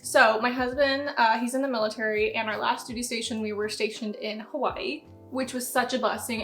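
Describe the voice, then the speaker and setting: Young woman, late twenties, chatty upbeat vlogger, slightly high voice. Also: a bit bright. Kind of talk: casual chat to camera. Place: home, sitting down.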